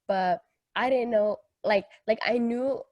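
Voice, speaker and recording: A woman's voice: a little high, slow pace, darkish tone, no room sound. A young woman from Kenya, a podcast co-host telling personal stories in casual, slangy, jokey talk. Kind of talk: casual chat, personal storytelling.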